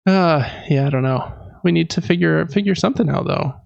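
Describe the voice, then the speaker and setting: A man's voice: on the high side, fairly quick, gravelly, dry recording, highs cut off. An American man in his thirties chatting casually as a podcast co-host, rambling along with self-deprecating humor. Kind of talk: casual chat.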